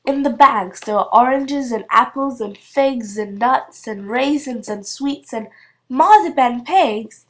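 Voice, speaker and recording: High, very expressive woman storyteller. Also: rough recording. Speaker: storybook reader. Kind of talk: expressive children's story narration.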